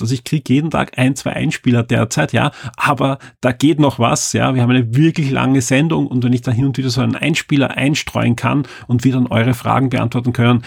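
A man hosting a podcast on his own, slightly hoarse and talking in a chatty, informal, upbeat way with the odd filler sound. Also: mid-range voice, no echo, clean studio sound. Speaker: podcast host. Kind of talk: solo podcast announcements.

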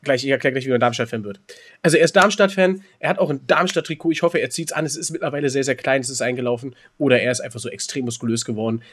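A man's voice: medium pitch, rapid-fire. A man co-hosting a podcast, telling stories and bantering casually in a teasing, rambling way with repeated words. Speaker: podcast co-host.

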